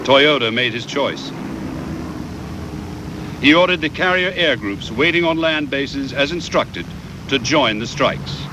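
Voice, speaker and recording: A man reading scripted historical documentary narration in a measured, formal way with deliberate pauses, on a VHS transfer. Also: mid-range voice, expressive, background noise.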